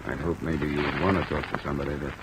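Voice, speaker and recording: An actor playing a cool, probing insurance investigator in a 1950s radio drama, measured, dry and matter-of-fact. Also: male voice, a bass voice. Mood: probing, calm. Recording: old radio recording under loud rain noise. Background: steady rain sounds.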